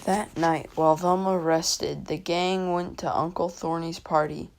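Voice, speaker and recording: A woman's voice: fairly low pitch, fairly even intonation, a little slow, gravelly, background noise. A young woman reading a children's mystery story as scripted narration. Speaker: storybook reader.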